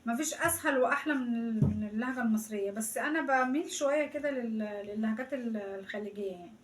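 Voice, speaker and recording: A high-pitched Egyptian woman rattling along at a very fast clip. She is a mother in her thirties, chatting with her kids in a relaxed family vlog. She often stops and restarts words mid-thought. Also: roomy sound, a little hiss or hum. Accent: Egyptian Arabic. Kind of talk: casual family chat.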